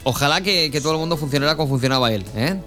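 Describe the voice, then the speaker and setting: A man's voice: a little high, racing, pushed and bright. A man with an accent from Spain hosting a casual radio chat in a jokey, chatty way, often tagging on questions. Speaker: radio show host. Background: music under the voice.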